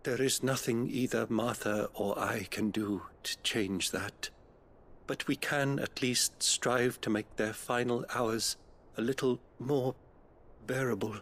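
Slow, solemn British priest. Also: male voice, mid-range voice, steady tone, a bit bright. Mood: solemn, sorrowful. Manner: measured, compassionate, formal. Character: gentle, solemn middle-aged abbey priest tending the dying. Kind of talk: video game cutscene acting.

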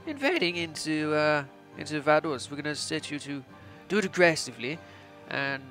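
A young man in his twenties plays game host with a put-on Austrian accent and a rather high-pitched voice. His delivery is theatrical and mock-imperious, like a ruler giving orders. Music plays behind him. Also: animated, moderate pace, fairly bright tone. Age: 20s. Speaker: gaming channel host. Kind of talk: playful strategy game let's play commentary.

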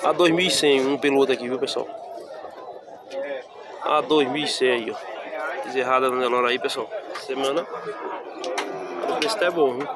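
A man's voice: mid-range voice, normal speed, long pauses. A man from Northeast Brazil filming an outdoor cattle market on his phone, chatting casually to viewers and repeating prices. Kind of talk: casual walk-through commentary. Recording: loud crowd and outdoor noise.

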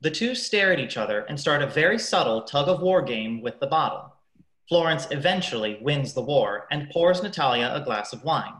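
A woman's voice: sits low, expressive, moderate pace, a bit bright, slight background noise. A woman narrating a radio play, reading scripted stage directions. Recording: echoey room.

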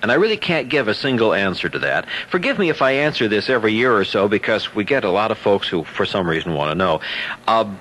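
A man's voice: fairly low pitch, big pitch swings, fast, fairly bright tone, a little hiss or hum, poor audio. An older American man hosting a nostalgic radio show, speaking directly to his listeners. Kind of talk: nostalgic presenter talk to listeners.

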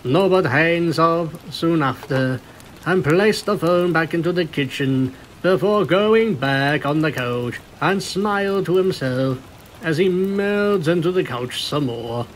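Guy reading a fanfic story really slowly, voice a bit high, faint noise behind him. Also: lively intonation, poor audio. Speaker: narrator. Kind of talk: scripted storytelling, fan fiction reading.

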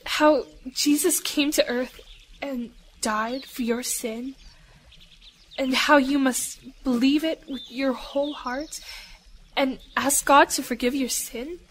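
A humble, devout lady missionary in an audio drama. Her voice is high and slow, earnest and gentle, over faint outdoor birdsong and ambience. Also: steady tone, slight background noise. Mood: gently encouraging. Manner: earnest, gentle, formal phrasing.

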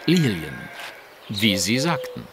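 An actor playing a shrewd, courteous Victorian gentleman detective in an audio drama, formal, precise and probing. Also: fairly low pitch, very animated, slow pace, over loud music, heavily acted. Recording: night-like outdoor ambience, insects, birds.

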